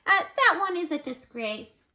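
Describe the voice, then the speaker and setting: Squeaky-high, bright little female sheep. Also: very animated, measured pace, heavily acted. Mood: kindly, encouraging. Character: chipper young female sheep, Babwa, kindhearted. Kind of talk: picture book read-aloud.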